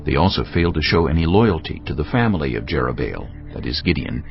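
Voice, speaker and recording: Male narrator for dramatized scripture: extremely low, creaky, expressive, over music. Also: fast talker. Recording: dull audio with music underneath.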